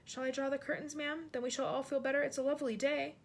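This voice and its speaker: A woman's voice: high voice, flat delivery, fast talker, creaky, voice pushed up. A woman voicing an older, deferential maid who speaks in a hesitant, quivering, polite way. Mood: gentle.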